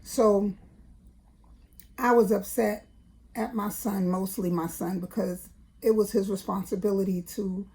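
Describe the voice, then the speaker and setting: Slow, raspy-voiced woman vlogger. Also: darkish tone. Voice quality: raspy, deeper than usual. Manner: hesitant, many fillers and restarts; earnest, repeats herself for emphasis. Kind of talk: casual personal vlog talk.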